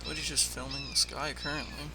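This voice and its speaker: A man hosts a casual reaction, thinking out loud and posing questions as he works through what he sees. His delivery is unscripted and conversational, with a clearly noisy background behind his voice. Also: a little high, wildly swooping pitch, a little fast. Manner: musing, questioning aloud. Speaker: host reacting to videos. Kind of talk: casual off-the-cuff reaction commentary.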